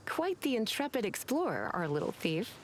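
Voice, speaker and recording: A woman's voice: a little high, very animated, normal speed, a little hiss or hum. A woman playing a sultry, teasing witch librarian in a video game, languid and coy with a menacing sweetness. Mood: teasing, assured. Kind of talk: video game character acting. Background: game music.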